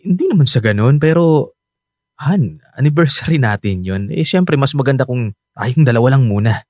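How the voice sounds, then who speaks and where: A man's voice: medium pitch, expressive, normal speed, darkish tone, no room sound, close to an everyday voice. Earnest Filipino husband, dry studio sound, acted by a man. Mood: coaxing. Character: earnest young husband, neglected and pleading with his wife. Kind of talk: Tagalog radio drama acting. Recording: dry studio drama over background music.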